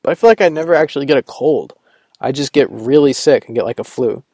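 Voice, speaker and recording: A man's voice: mid-range voice, fast, mellow, no echo, clean studio sound. A man talking alone in a rambling, sarcastic way, full of fillers, just getting over a cold. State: recovering from a cold. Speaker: podcast host. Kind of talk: rambling solo monologue. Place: Vermont.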